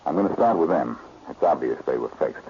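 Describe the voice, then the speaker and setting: A man's voice: extremely low pitch, animated, brisk. An American actor playing a confident, wry male amateur detective in a 1940s radio drama. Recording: dull, hissy old radio recording.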